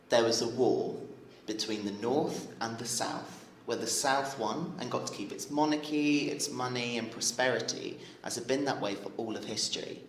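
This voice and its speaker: An actor playing an eager, excitable young man who believes in fairy tales, in an informal audio drama style. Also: mid-range voice, a little slow, roomy sound, a little hiss or hum, lightly acted, rough recording. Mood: storytelling.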